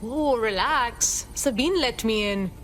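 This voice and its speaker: High, slow-paced, eager female recruit. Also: fairly bright tone. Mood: casual. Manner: casual, flippant. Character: eager, casual young female hacker recruit.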